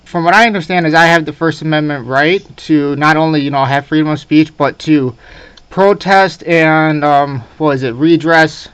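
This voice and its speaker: Male probationer on a phone call, smooth slightly high voice, earnest, halting delivery. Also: fairly even intonation, a bit bright, dry recording. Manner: hesitant, earnest, frequent "you know". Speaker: probationer on a call with his officer. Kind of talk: phone conference conversation. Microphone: recorded phone call.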